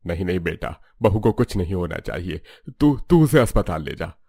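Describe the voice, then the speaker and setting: Man acting low-voiced reassuring family patriarch. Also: big pitch swings, moderate pace, mellow, breathy edge, no room sound, studio quality, heavily acted. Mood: concerned. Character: family patriarch, older father-in-law, reassuring. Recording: background music.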